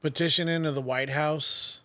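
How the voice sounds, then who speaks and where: A man's voice: on the high side, fairly even intonation, normal speed, bright, forceful tone. A man hosting a podcast, telling stories in casual, rambling chat full of restarts. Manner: rambling storyteller, many restarts and "like". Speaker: podcast host.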